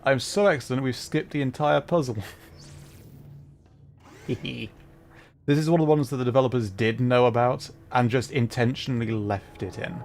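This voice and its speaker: A man hosting a livestream, giving casual, halting gameplay commentary as he thinks aloud. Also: medium pitch, darkish tone. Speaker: livestream host playing a video game.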